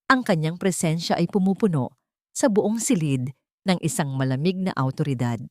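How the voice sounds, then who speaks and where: A woman storyteller reading scripted fiction in a deliberate, dramatic way, with short pauses between phrases. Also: sits low, warm tone, no echo, clean studio sound. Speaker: storyteller, narrator.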